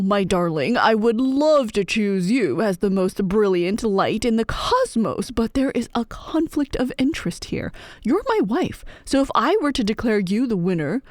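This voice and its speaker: A woman voicing a male king of the gods in a storybook retelling, smooth-talking and placating, with formal phrasing. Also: a little high, no room sound, clean studio sound. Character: Zeus, male king of gods, diplomatically wriggling out of judging.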